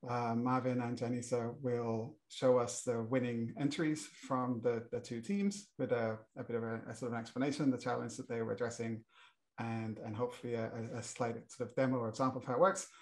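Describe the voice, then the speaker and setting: A man's voice: medium pitch, steady tone, fairly quick, a little mellow. A middle-aged British man moderating a panel, introducing the topic with many fillers and restarts. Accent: British English. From Britain.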